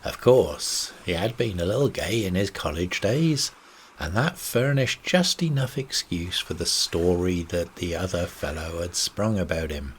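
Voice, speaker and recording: A man's voice: deep voice, expressive, measured pace, a little hiss or hum. A man narrating a bedtime audiobook, telling an old seafaring yarn in the first person. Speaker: story reader.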